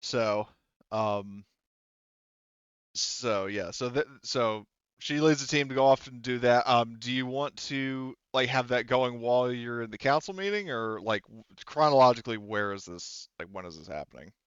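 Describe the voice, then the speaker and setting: A man running a tabletop roleplaying game as game master, talking casually and haltingly as he thinks aloud. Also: medium pitch, smooth, dry recording, muffled recording.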